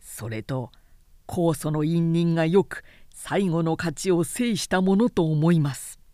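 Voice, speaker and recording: A woman's voice: low voice, lively intonation, unhurried, dark tone, dry recording. An earnest male retainer, voiced by a woman narrator, counsels his lord. The speech is formal, deferential and carefully reasoned, in audiobook style. It moves without haste in a dry recording. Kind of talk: audiobook character acting.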